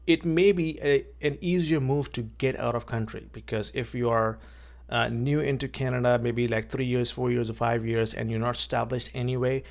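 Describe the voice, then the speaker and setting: A man's voice: mid-range voice, creaky. A man from Pakistan, a vlogger and realtor, talking plainly to camera outdoors, often repeating words and checking in with "okay". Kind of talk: casual talk to camera.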